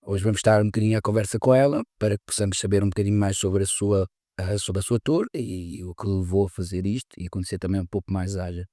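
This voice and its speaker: A man's voice: low-pitched, fairly even intonation, rapid-fire, warm tone, dry recording, clean studio sound. A man hosting an interview podcast, talking casually with many fillers and restarts. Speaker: podcast host.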